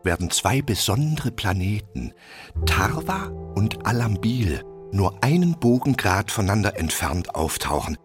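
Bearded learned tutor, rather deep, highly varied intonation, formal, cautious, radio play, music behind. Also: male voice, sing-song, a little slow. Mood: lecturing, courtly. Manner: formal, pedantic, nervously hesitant. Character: small, stout, long-bearded learned royal tutor, cautious. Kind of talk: children's radio play acting. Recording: music under the voice.

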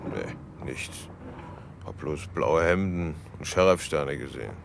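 Uncaring street bystander, radio drama: rock-bottom creaky voice, curt mumbling, noisy street. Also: male voice, a bass voice, expressive, measured pace, heavily acted. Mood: dismissive. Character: surly, uncaring man on the street. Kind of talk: German radio play acting.

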